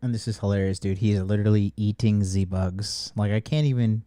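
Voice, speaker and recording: A man hosting a podcast, talking fast and casually in an amused, chatty way. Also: on the low side, fairly even intonation, mellow, dry recording. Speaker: podcast host. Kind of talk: casual commentary.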